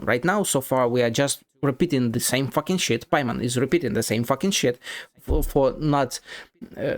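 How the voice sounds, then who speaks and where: A man streaming a game and giving casual, critical commentary, blunt and sweary, in halting, repetitive bursts. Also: mid-range voice. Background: game music.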